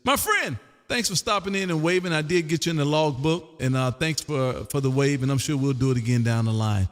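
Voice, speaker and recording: Loud excitable guy doing ham radio chat, kinda high voice, folksy, big echoey reverb. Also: brisk. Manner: loud, exuberant, folksy, repeats himself. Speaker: amateur radio operator hosting a live stream. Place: home radio room. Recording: roomy, long reverb.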